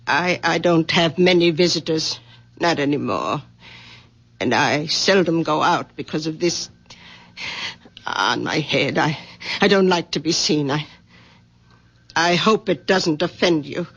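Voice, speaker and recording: An actress playing a shy, self-conscious, pious widowed aunt in a radio drama, halting and fretful. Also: female voice, low-pitched, fairly bright tone, breathy edge, heavily acted. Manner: halting, fretful, repeats words. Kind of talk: 1970s radio drama acting. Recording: dull old radio broadcast recording.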